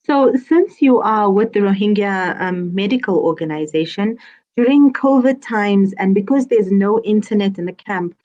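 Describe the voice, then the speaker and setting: A woman in her thirties hosting an interview, asking calm questions over a video call with a few ums. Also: mid-range voice. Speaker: host. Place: indoors, branded backdrop. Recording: dull lo-fi video call audio.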